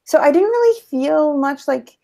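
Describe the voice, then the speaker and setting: A woman's voice: sits high, lively intonation, unhurried, creaky, mellow. A young adult woman on a video call, chatting casually and peppering her speech with "like". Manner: casual, many fillers like "like". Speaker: panel guest. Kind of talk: casual panel discussion. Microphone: video call mic.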